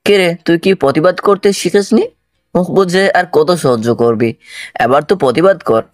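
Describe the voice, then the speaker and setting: A man's voice: fairly high pitch, normal speed, no room sound, clean studio sound, lightly acted. A man playing a bold, protective young student in an audio story, speaking in a firm, challenging way. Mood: urging, reproachful.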